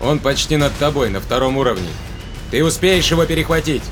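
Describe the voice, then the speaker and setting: Casting: man to play terse, serious sci-fi ship crewman, slightly higher male voice, over prominent score. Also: expressive, brisk, music in the background, natural voice. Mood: urgent, worried. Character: Jørgen, tense male spaceship crewman. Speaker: actor in audio drama. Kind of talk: Russian sci-fi audio drama acting.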